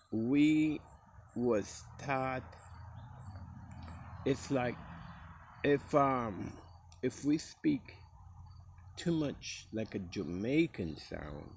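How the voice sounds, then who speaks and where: Man, Costa Rican Caribbean English accent, relaxed storyteller, lowish voice, very slow pace, faint insect noise. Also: creaky. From Costa Rica, Caribbean coast. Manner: relaxed, acts out quoted speech in a higher voice. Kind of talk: casual storytelling.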